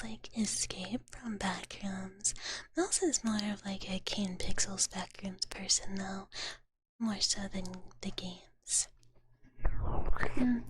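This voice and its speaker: Slow, softly whispering ASMR woman. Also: medium pitch. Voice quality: soft, breathy whisper. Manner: gentle, cutesy, refers to self in third person. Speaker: ASMR livestream host. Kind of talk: whispered ASMR, casual chat with viewers. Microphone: close ASMR microphone.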